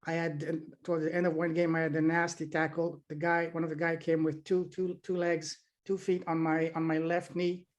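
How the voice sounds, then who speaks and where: A man's voice: on the high side, completely flat delivery, moderate pace, hardly any pauses. A middle-aged man from Afghanistan answering interview questions about his childhood, with many fillers and restarts. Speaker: podcast host being interviewed.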